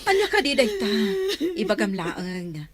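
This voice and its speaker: A woman's voice: mid-range voice, very animated, measured pace. A woman playing a caring middle-aged aunt who coaxes and soothes her crying niece. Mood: soothing. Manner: soothing, coaxing.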